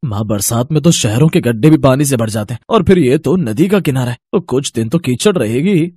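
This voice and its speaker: A man's voice: mid-range voice, expressive, a little fast, a little mellow, a little hiss or hum, natural voice. An actor playing a weary but reassuring family man in a cartoon story, explaining with mild exasperation. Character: weary, reassuring husband and son. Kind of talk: cartoon moral story acting.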